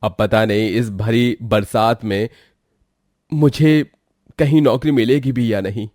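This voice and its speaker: A man's voice: medium pitch, fairly even intonation, takes it slowly, a little mellow, dry recording, close to an everyday voice. Humble cartoon father and labourer by a male actor: polite, earnest, dry studio sound. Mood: distressed. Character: humble, dutiful mill worker, husband and father.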